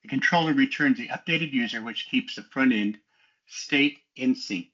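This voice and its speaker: A man's voice: medium pitch, moderate pace, bright, forceful tone, dull audio. A man giving a methodical, explanatory technical walkthrough as a student developer, in a semi-scripted presentation style.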